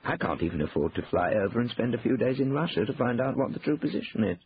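A man voicing a shrewd older gentleman in an audiobook, politely rueful and slyly composed. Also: medium pitch, animated, fast, highs cut off, a little hiss or hum, pitched above the performer's own voice, natural voice.

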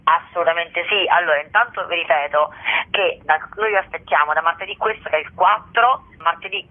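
A woman, a theatre director and actress, calling in to a radio interview and rattling off dates, prices and thanks. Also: on the low side. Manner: brisk, lists dates and thanks in a rush. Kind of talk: phone-in interview. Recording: tinny phone line.

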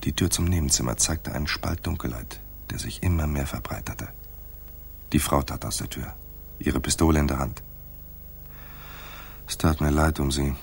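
An actor playing a world-weary, sardonic private detective who also narrates in a radio crime drama, with a dry, wry, clipped delivery. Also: male voice, a bass voice, moderate pace, gravelly, pauses often, a little hiss or hum. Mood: brooding narration.

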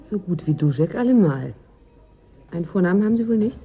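A woman's voice: lowish voice, expressive, fairly quick, slightly rough, muffled recording, faint music behind. Bold, guarded woman agent. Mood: wry. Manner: needling, guarded. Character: bold, evasive woman agent, sharp-tongued and composed. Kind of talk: German radio play acting.

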